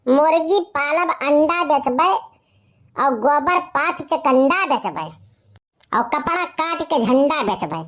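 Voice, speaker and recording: Someone playing a very high child-like young male slacker, eastern UP Awadhi accent, slow delivery, boastful rustic cartoon storytelling. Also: full character voice. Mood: cheerfully scheming. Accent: eastern Uttar Pradesh Awadhi. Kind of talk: comic first-person storytelling for a cartoon.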